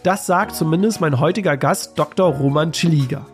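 German podcast host, fairly high voice. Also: male voice, normal speed, soft background music. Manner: friendly, asks questions with many "äh" fillers. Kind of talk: scripted podcast intro and interview questions.

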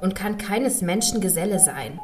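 A woman's voice: medium pitch, lively intonation, normal speed, over music, lightly acted. A woman voicing a spoiled young princess in a fairy tale reading, who pleads as if close to tears. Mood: eager. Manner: pleading, lightly sobbing. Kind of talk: storybook reading.

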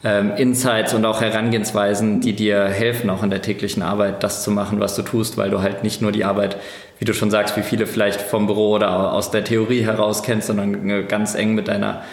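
A man's voice: fairly low pitch, level tone, a little fast, roomy sound. A man hosting an interview, asking long, winding questions full of fillers. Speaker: podcast host.

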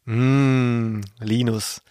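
A man's voice: medium pitch, level tone, very slow, mellow, a little hiss or hum. A man chatting casually and wryly as a podcast co-host. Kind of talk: casual chat.

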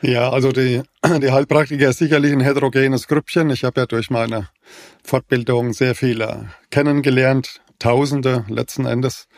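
Man in his fifties, podcast co-host; monotone, steady explainer, dry booth sound. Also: medium pitch, no echo. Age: 50s. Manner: measured, even-toned explaining with many fillers. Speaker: naturopath and co-host. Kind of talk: casual podcast conversation.

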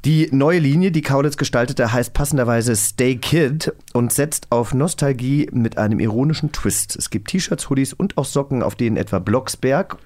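A man's voice: mid-range voice, normal speed, hardly any pauses, darkish tone. A German man co-hosting a podcast in a casual, playful quiz style. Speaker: podcast co-host.

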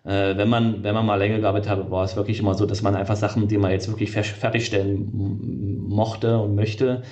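A man's voice: fairly low pitch, little pitch movement, fairly quick, darkish tone, highs cut off. A middle-aged man, a game developer being interviewed, talking casually and hesitantly with many fillers and restarts.

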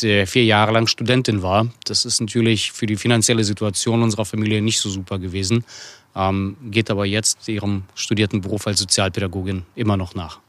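A man's voice: fairly low pitch, no room sound. A middle-aged man giving fluent, personal answers as an interview guest.

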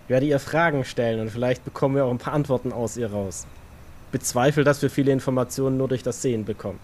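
A man's voice: mid-range voice, quick pace, a little mellow, natural voice. Man playing a wary vampire, faint background noise. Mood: hesitant. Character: wary male vampire, cautious and distrustful. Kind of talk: improvised tabletop role-play audio drama.

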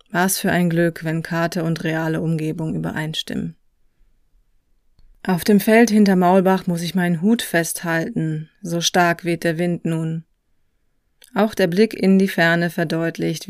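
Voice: medium pitch, creaky, no room sound. Podcast host and narrator with a dry, close sound. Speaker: interviewer and narrator of a walking podcast. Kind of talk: conversational interviewing and reflective scripted narration.

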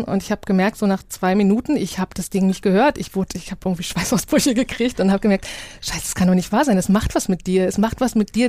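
A woman telling anecdotes casually as an interview guest, in an animated storytelling manner. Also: mid-range voice, dry recording. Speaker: radio science editor, interview guest. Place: dry podcast studio.

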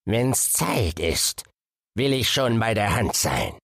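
A man voicing a sly, wicked old witch who wheedles in drawn-out, scheming tones. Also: fairly low pitch, big pitch swings, vocal fry, fairly bright tone, husky, no echo, clean studio sound, voice pushed up, full character voice. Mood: soothing, scheming.

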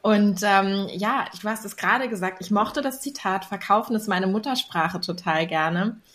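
A woman co-hosts a podcast in a casual, conversational tone at a middle pitch. She asks long, reflective questions and speaks in an easy, thoughtful way. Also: moderate pace, fairly bright tone. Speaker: podcast co-host.

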